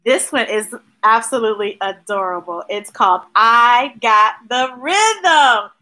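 Story time host: American woman, bright voice, unhurried pace, expressive and rhythmic with kids' books. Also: on the high side, low-quality recording. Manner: expressive, playful, chanting repeated words rhythmically. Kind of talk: animated picture book read-aloud with chatty asides. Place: small indoor room with shelves of books. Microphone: webcam or device at arm's length.

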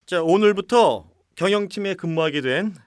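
A man's voice: high-pitched, lively intonation, moderate pace, clear, resonant tone, no room sound, clean studio sound, soft background music. An actor in an audio drama playing an upbeat male office senior. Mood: brisk. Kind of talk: Korean audio drama acting.

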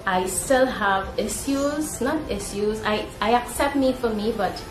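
A woman's voice: a little high, measured pace. Chatty Trinidadian woman in a roomy space. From Trinidad, born in Guyana. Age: young adult. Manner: chatty, informal, conversational. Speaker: vlogger. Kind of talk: casual talking-to-camera vlog. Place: indoor room at home. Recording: roomy echo, music behind. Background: background music.

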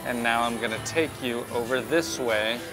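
A man in his thirties talks in a chatty, upbeat way as he walks a cruise ship, holding the camera at arm's length. His voice sits at an ordinary middle pitch. Music plays clearly underneath him. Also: moderate pace, rough recording. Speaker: cruise vlogger. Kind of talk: walking tour vlog. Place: cruise ship interior and decks. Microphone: handheld camera at arm's length.

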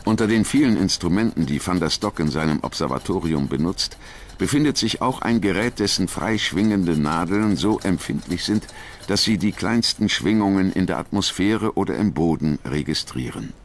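A man's voice: sits low, animated, moderate pace, slight background noise. A man reading scripted documentary narration as the narrator.

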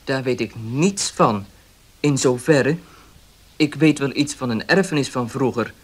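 An actor playing a guarded young baker in a 1970s radio drama, speaking plainly and carefully. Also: male voice, mid-range voice, measured pace, slightly rough.

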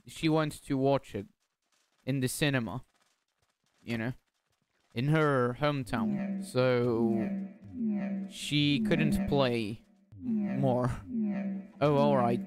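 A man's voice: medium pitch, slow, pauses often, clear, resonant tone, no room sound, soft background music. A young man from Bulgaria, chatting casually as a streamer while playing, often repeating words and asking himself confused questions. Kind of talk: casual gameplay commentary.

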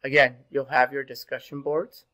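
A man in his thirties, a little sick and hoarse, giving a pre-recorded online class as instructor, relaxed and full of fillers. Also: mid-range voice, moderate pace. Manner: relaxed, filler-heavy, repeats "go ahead". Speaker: university instructor. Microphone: webcam in corner of screen recording.

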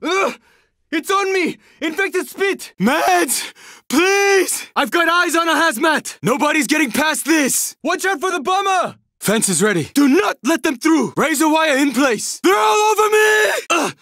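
High, forceful young zombie-game survivor. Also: male voice, lively intonation, a little slow, dry recording, clean studio sound, heavily acted. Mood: urgent, alarmed. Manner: terse, urgent, forceful callouts. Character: urgent young male survivor fighting zombie hordes. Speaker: video game voice actor. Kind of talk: video game combat barks.

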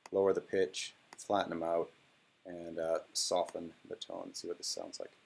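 A man presenting a casual software walkthrough, speaking in a hesitant, halting way. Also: deep voice, little pitch movement, gravelly. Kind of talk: casual screen-recorded walkthrough.

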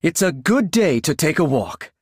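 A gentle, serene healer from a video game. His voice is breathy and a little high, soft and kindly, in a dry close recording. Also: very animated, moderate pace, dry recording. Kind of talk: video game character acting.

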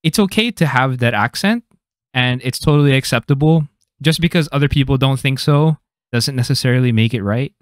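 A man's voice: mid-range voice, a little fast, no echo. A young man with a slight Filipino accent, hosting a casual podcast chat from a small home room into a studio mic, reflective and loose with lots of fillers. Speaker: podcast host. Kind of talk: casual conversation. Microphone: studio mic on stand.

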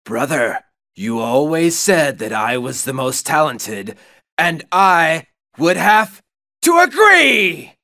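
A man's voice: fairly high pitch, sing-song, slow, pushed and bright, exaggerated voice. A man playing an arrogant, cruel young knight in a video game, mocking and theatrical. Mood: taunting. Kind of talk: video game villain acting.

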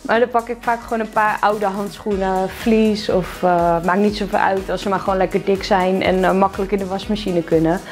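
A woman's voice: mid-range voice, steady tone, fairly quick, few pauses, faint music behind. A young woman in her twenties chatting casually and upbeat at home, showing off winter riding clothes, with plenty of filler words. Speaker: equestrian shop presenter. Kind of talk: casual product lookbook talk. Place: indoors at home with plants behind.

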